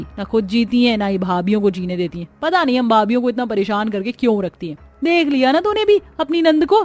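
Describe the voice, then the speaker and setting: A woman acts out a meddling young married friend from a cartoon story. She is suspicious and gossipy, with a high, scolding voice. The recording is dry. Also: no echo. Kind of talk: Hindi cartoon story dialogue.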